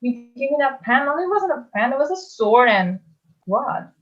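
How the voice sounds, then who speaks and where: A woman playing a defensive, sincere, misunderstood schoolboy in a halting, conversational way, in a theatrical table read. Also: sits high, sing-song. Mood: hurt protest. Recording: dull band-limited sound, music behind.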